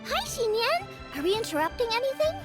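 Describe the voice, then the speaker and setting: A woman's voice: very high voice, sing-song, fairly quick. A woman voicing an eager, friendly, earnest young girl cook in a video game. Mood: cheerful. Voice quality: girlish. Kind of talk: video game character voice acting. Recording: music playing behind the voice.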